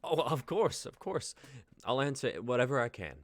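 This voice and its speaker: A man's voice: mid-range voice, expressive, moderate pace, no room sound, close to an everyday voice. A young man playing a nervous, idealistic young driver in a dramatic reading, speaking hesitantly and earnestly. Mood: eager. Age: young adult. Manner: hesitant, stammering. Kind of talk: online dramatic script reading.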